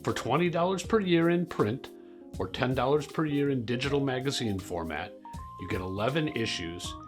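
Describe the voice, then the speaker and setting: A man delivering a scripted subscription pitch as a magazine executive. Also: animated, normal speed. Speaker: magazine executive making a promo. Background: music.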